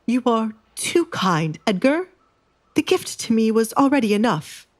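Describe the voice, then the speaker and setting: A woman's voice: a little high, very animated, a little slow, voice pushed up. A woman voicing a greedy middle-aged father, ingratiating and blustering, in an audio drama. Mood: fawning.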